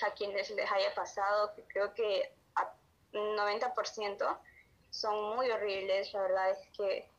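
A woman's voice: mid-range voice, a bit bright. A woman with a Honduran accent answering casually as an interview guest who writes as an amateur. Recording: dull lo-fi audio.

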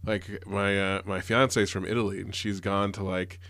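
A man's voice: on the low side, level tone, normal speed, vocal fry, a bit bright, no room sound. A man, a teacher, talking earnestly in a rambling way full of fillers. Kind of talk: casual conversation.

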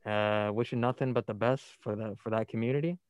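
Young male host, college radio, playful news reader, middle pitch, dry booth sound. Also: fairly even intonation, fairly quick, clean tone, dry recording. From New Jersey. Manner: upbeat, playful, reads stories aloud. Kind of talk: casual news chat.

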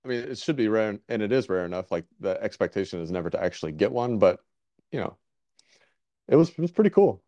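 A man's voice: fairly low pitch, lively intonation, fast talker, warm tone, no room sound. A man hosting a casual interview podcast, talking in an easygoing, rambling way with many restarts. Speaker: podcast host.

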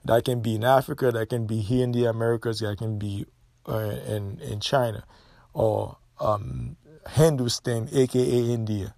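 A man's voice: medium pitch, a little mellow, dry recording. A man hosting a solo podcast, opinionated and emphatic, often repeating himself. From Haiti. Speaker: podcast host.

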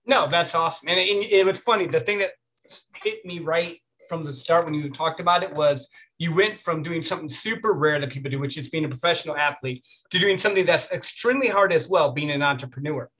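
A man's voice: fairly high pitch, a little fast, fairly bright tone, a little hiss or hum. A man hosting a podcast and interviewing a guest in a friendly, encouraging way. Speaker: podcast host.

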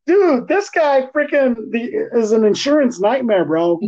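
A man co-hosting a podcast, chatting casually in profane slang with an animated, teasing, ranting manner. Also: very high-pitched, a little slow, crowd noise. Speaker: podcast co-host. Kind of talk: casual, slangy movie chat. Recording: dull, lo-fi podcast audio.

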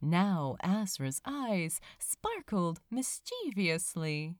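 A woman reading a story aloud as narrator, in an expressive scripted storytelling style. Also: fairly low pitch, extremely slow, dry recording, studio quality. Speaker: audiobook-style narrator of fan fiction.

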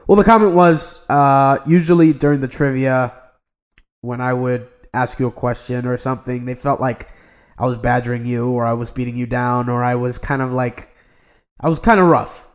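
A man's voice: medium pitch, fairly even intonation, normal speed, clear, resonant tone. A young adult man co-hosting a podcast in casual chat, earnest and rambling with many restarts. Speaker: podcast co-host.

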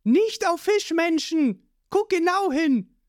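A man's voice: very high-pitched, expressive, slow pace, dry recording, studio quality, voice pushed up, heavily acted. A man voicing a bold young village woman in a storybook retelling, firm and scolding.

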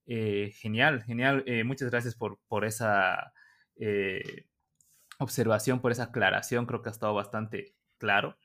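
A man's voice: on the low side, fairly even intonation, unhurried, pushed and bright. A man hosting a podcast interview, asking questions with frequent hesitations and repeated words. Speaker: podcast host. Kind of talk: casual interview.

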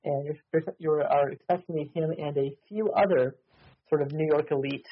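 A man's voice: fairly high pitch, fairly quick, phone-quality sound, a little hiss or hum. A man narrating as game master over a voice call, hesitant with many ums and restarts.

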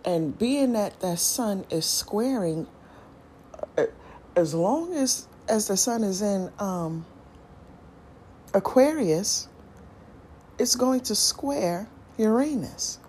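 A woman chatting on her own podcast, drawing out her words at an unhurried crawl. Her voice sits at a middle pitch, and her talk stays loose and slangy as she thinks aloud. A faint hiss runs underneath. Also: animated, a little mellow. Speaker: podcast host. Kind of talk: casual solo podcast monologue.

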